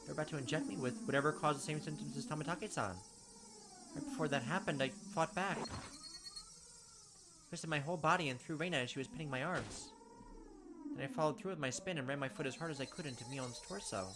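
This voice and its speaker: A man reading a visual novel's text aloud as a let's play narrator, dramatic, with quick asides of his own. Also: a little high, expressive, background noise.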